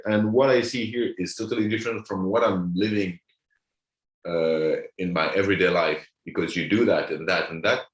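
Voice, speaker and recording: A man, chatting casually as a guest comedian, often repeating words as he searches for them. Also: lowish voice. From France. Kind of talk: casual chat. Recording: roomy echo.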